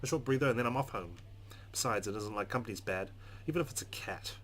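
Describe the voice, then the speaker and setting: A man doing a let's play, reading a game's narration aloud in an expressive, measured, dramatic way. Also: on the low side, slight background noise. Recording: game music under the voice.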